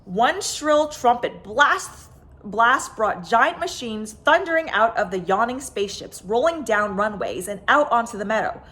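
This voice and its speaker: Animated woman reading a children's story. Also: on the high side, a little slow. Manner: expressive, dramatic emphasis with stretched words. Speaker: storyteller reading a picture book aloud.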